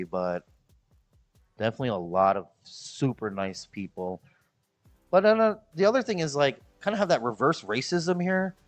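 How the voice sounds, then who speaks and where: Rambling Midwestern man streaming, music behind. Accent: American Midwest. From Chicago, US Midwest. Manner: rambling, jokey, repeats himself, mimics people in high voices. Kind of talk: casual chat to live-stream viewers. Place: the Philippines.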